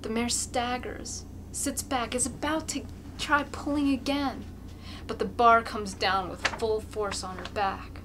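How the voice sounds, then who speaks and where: A woman's voice: fairly high pitch, fairly even intonation, unhurried, background noise. A woman amateur reader giving an intense, theatrical narration of a classic novel.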